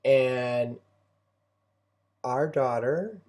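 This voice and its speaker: A middle-aged man shares parenting thoughts in a soft-edged, mellow voice. He speaks conversationally and reflectively, circling back and repeating phrases as he finds his way through a thought. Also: medium pitch, drawn-out words. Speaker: homeschooling parent and co-host.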